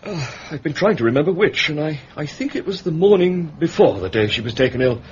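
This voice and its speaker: An actor playing a middle-aged English bank manager in a period radio drama, fussy, discreet and hesitantly formal. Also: male voice, a little high, lively intonation, fairly quick. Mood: flustered, guarded. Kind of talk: British period detective radio drama acting. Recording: muffled radio recording, clear background noise.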